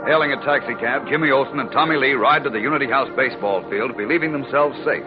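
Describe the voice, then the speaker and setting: A man's voice: mid-range voice, quick pace. Male narrator, dramatic 1940s radio style, emphatic, prominent music, dull recording. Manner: suspenseful, emphatic.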